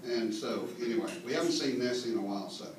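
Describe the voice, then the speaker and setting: A man's voice: medium pitch, quick pace. Man giving comic talk in very echoey room. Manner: folksy asides, mock-earnest explaining. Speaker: speaker reading a humorous mock history at a clan meeting. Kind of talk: tongue-in-cheek comic lecture read to an audience. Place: indoor meeting room with live audience. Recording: very echoey room, distant mic, faint noise.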